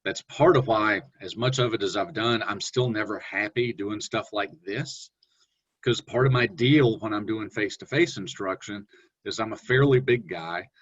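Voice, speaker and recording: A man presenting a webinar on online teaching, talking in a relaxed, conversational way with fillers and self-corrections. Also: normal speed. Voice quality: deep voice. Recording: dull lo-fi webinar audio.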